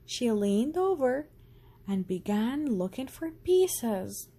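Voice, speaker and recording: A woman reading a children's storybook aloud as narrator, expressive and deliberate. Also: on the high side, breathy edge.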